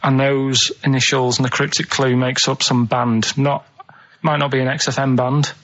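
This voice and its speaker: A man's voice: mid-range voice, flat delivery, normal speed, fairly bright tone. A man presenting on radio, chatting casually in a hesitant, plain-spoken, earnest way. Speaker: radio show presenter running a quiz. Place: radio studio. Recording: slightly dull lo-fi radio sound.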